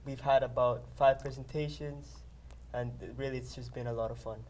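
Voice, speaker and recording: A young man talks about his dance group in a relaxed, casual way, with the odd hesitant "uh". His voice sits at a middle pitch, with faint noise behind him. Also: moderate pace.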